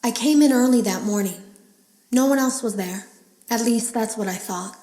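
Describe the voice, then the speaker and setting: An actress playing an uneasy female game tester, confiding in a measured, serious way in scripted audio logs for a horror game. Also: fairly high pitch, warm tone, reverberant, close to an everyday voice. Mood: somber, troubled.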